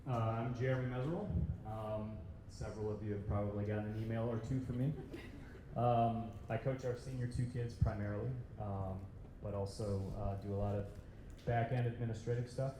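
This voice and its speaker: A man's voice: fairly low pitch, steady tone, fairly quick. A man, a swim coach who also handles administration, giving a brief, matter-of-fact self-introduction. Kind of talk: self-introduction at a team meeting. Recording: echoey room with background noise.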